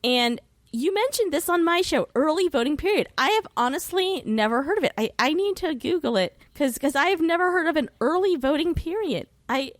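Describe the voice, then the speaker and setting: A woman's voice: high voice, expressive, fairly quick, dry recording. An American woman talks casually as a guest on a podcast. Her manner is informal and wry, with plenty of swearing and asides. The sound is very dry, with hardly any room in it. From United States. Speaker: podcast guest who hosts her own show.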